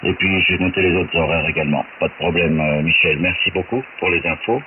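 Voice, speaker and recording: Man, very low voice, casual ham radio operator, thin narrow-band shortwave sound. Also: fairly quick, noisy background. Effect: shortwave radio transmission.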